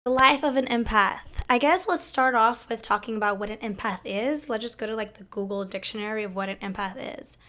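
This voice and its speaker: Young woman talking to camera, voice kinda hoarse and cracking, a bit higher pitched, rambly and chatty. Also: brisk, hardly any pauses, pushed and bright. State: hoarse, recovering lost voice. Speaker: host. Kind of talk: casual talk to camera.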